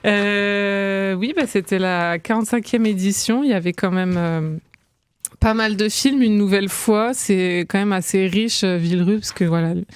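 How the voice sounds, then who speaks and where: A young woman talking casually as a guest critic in a radio studio, hesitant, with many fillers and quick laughs. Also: medium pitch, clear, resonant tone. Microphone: studio microphone.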